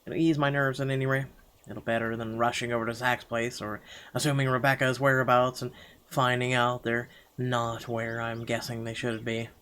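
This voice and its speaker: A man reading a visual novel aloud as first-person dramatic narration, halting now and then over the words. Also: mid-range voice, level tone, fairly bright tone, clear, resonant tone. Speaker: game player reading the text aloud.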